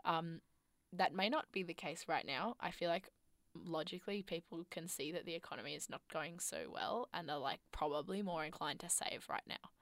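A woman's voice: lowish voice, normal speed, fairly bright tone, no room sound. A young woman co-hosting a podcast in casual chat, thoughtful and hedging, with a lingering cough. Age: young adult. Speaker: podcast co-host.

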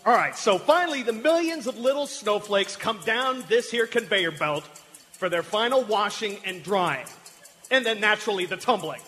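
A man's voice: sits high, expressive, moderate pace, pushed and bright. A man playing a proud, fussy older factory veteran who explains things earnestly and folksily in a comic live radio play. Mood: proud, explaining. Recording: echoey live hall, music behind.

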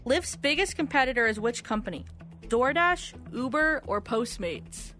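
A woman with a fairly high voice reads out trivia questions on a morning radio show. Light music plays underneath her studio microphone. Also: lively intonation, normal speed, sharp tone. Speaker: radio quiz host reading questions.

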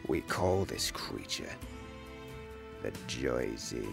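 Grave monster-legend presenter for audio drama, played by a man: deep, unhurried, theatrical and ominous, over a music bed. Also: big pitch swings, creaky, full character voice. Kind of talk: dramatic documentary-style scripted narration.

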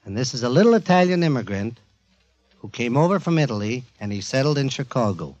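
A man presenting a show to a studio audience in an emphatic, informal, jokey warm-up style, on a 1940s radio recording. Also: mid-range voice, very animated, fairly quick, lo-fi sound. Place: before a live studio audience.

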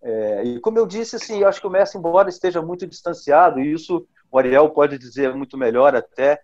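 A man's voice: on the high side, expressive, brisk, darkish tone. Thoughtful man, slightly lo-fi. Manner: thoughtful, with hesitations. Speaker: football commentator. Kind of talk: podcast discussion.

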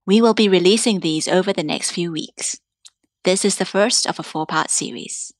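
A woman's voice: on the low side, normal speed, dry recording, studio quality. A woman presenting and reading literary pieces from a script. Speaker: presenter and reader. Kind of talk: scripted introduction and poetry reading.

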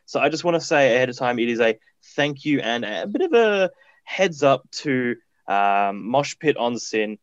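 A man's voice: medium pitch, lively intonation, moderate pace, no room sound, lo-fi sound. A man co-hosting a student radio show, chatty and upbeat as he introduces songs. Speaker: radio co-host. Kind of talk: casual radio chat, song introductions.

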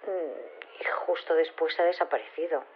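A woman playing a meek, quiet lady bystander in an audio drama, speaking tentatively through a telephone filter. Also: low voice, normal speed. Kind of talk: audio drama phone dialogue. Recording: dull, noisy phone line, clicks.

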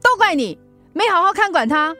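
A woman acting a strict, exasperated mother in a Mandarin radio drama, scolding and quick to anger. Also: very high-pitched, big pitch swings, pushed and bright, soft background music, exaggerated voice. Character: strict, exasperated working mother of a teenage girl.

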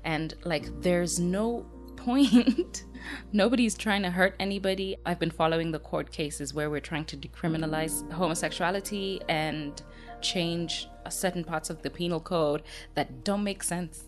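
A woman from Kenya speaks as a podcast guest with a low, deep voice. She answers earnestly and directly, and faint music plays behind her. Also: unhurried. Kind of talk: interview answers.

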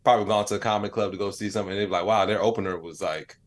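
Deep-voiced man chatting casually in an interview. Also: fairly even intonation, rapid-fire. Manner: informal, reflective, frequent "like" and "you know". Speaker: podcast guest, comedian.

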